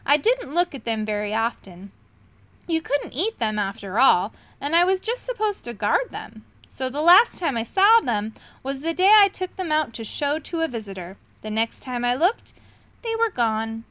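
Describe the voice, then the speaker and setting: A woman voicing a small, touchy male dragon who squeaks out his words. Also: very animated, moderate pace, fairly bright tone, no room sound. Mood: sad. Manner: squeaky and indignant.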